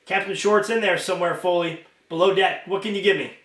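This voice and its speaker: A man's voice: sits high, steady tone, fairly quick, voice pushed up. A man voicing an irritable fairy police commander in a storybook, curt and commanding as he barks orders. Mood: urgent. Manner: curt, commanding, shouts into comms. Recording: roomy, echoing home recording.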